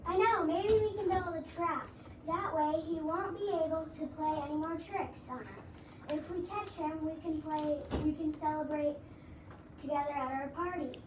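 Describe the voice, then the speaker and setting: A woman's voice: high-pitched, moderate pace, natural voice. A woman playing a kindly first-grade teacher in a scripted readers' theater, reading aloud carefully to her class. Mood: encouraging. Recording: echoey room, distant mic, background noise.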